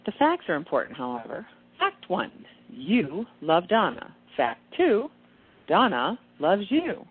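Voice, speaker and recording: A woman playing a serene, knowing angel guide in a radio play, speaking with confident, measured emphasis. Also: fairly low pitch, wildly swooping pitch, slightly rough, heavily acted. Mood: insistent, reasoning. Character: serene, knowing female angel guide in kittenish human guise. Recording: tinny, band-limited phone-like sound.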